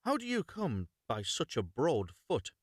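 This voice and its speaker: A man's voice: mid-range voice, huge pitch swings, a little slow, husky, dry recording, studio quality, heavily acted. A man playing a young fairy-tale prince, a curious and appalled bridegroom.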